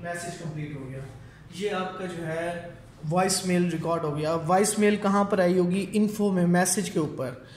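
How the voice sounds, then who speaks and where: A man's voice: high voice, moderate pace. A man giving a casual step-by-step technical tutorial, mixing in English terms and often checking in as he instructs. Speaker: technical trainer. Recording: echoey room with faint background noise.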